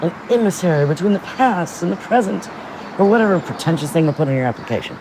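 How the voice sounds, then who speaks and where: Brash, breezy, animated woman. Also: lowish voice, fast, noisy background. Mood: teasing banter. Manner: breezy, sassy, bantering. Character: brash, easygoing, reckless woman tour operator. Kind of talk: horror audio-drama dialogue.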